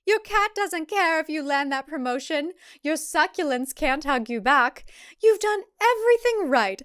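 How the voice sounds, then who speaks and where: A woman voicing a chirpy, saccharine female cult leader delivering a promotional pitch in a slow, exaggerated manner. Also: lively intonation, a bit bright, no room sound, clean studio sound. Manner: slow, exaggerated, sugary salesmanship.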